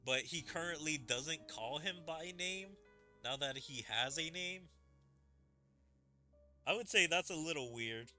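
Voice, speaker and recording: Male gaming streamer, higher-pitched and animated, analytical let's play commentary over game music. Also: measured pace, long pauses. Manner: analytical, puzzles over wording aloud. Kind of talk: let's play commentary, reading dialogue aloud. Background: video game music.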